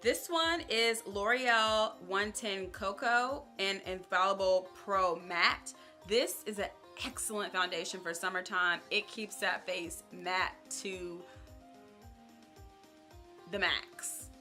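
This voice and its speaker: A young woman casually chatting to camera as a beauty vlogger, upbeat and talking straight to her viewers, her voice a little raspy. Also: medium pitch, slow pace, bright, forceful tone, soft background music. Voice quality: slightly raspy.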